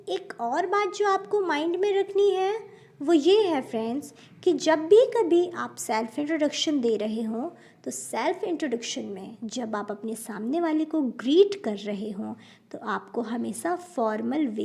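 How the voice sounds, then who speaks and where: A woman from India teaching spoken English in Hindi, slow and careful, often calling her viewers friends and mixing in English words. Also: sits high, darkish tone.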